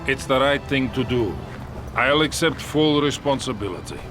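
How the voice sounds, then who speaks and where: An actor playing a war-weary, protective Soviet commander in a video game cutscene, earnest and resolute. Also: male voice, medium pitch, moderate pace, fairly bright tone, faint music behind, natural voice. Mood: earnest, pleading.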